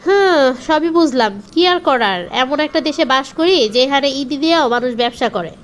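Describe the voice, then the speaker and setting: A woman's voice: high-pitched, animated, fairly quick, a little hiss or hum. A woman with a Bangladeshi accent playing an exasperated, ordinary young woman in a cartoon skit. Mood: resigned, dismayed.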